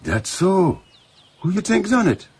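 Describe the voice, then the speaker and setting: A man's voice: high voice, huge pitch swings, measured pace, husky, exaggerated voice. An actor playing a loyal, wary, plain-spoken island boatman with a Caribbean accent in a radio drama. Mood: puzzled. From Cayman Islands.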